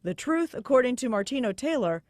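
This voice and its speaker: A woman's voice: on the high side, sing-song, a little fast, a little mellow, no echo, clean studio sound. A woman with an American accent narrating a scripted investigative television news report.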